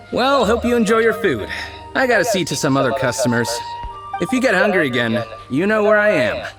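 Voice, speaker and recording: An actor playing a proud, cheerful street-food cook in a game, brash and confident. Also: male voice, high-pitched, very animated, moderate pace. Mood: friendly. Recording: game audio with loud music behind.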